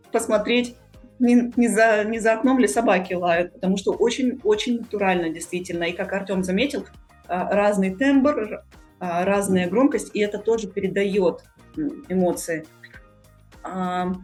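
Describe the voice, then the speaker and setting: A woman's voice: medium pitch, darkish tone. A woman hosting a podcast, talking casually with her co-hosts. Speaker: podcast host. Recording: music in the background. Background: music.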